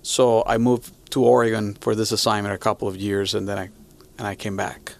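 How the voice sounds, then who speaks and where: Gravelly low-voiced man from Venezuela. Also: fairly even intonation, fairly quick, darkish tone. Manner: hesitant, restarts often, friendly. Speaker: podcast guest. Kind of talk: conversational interview answers.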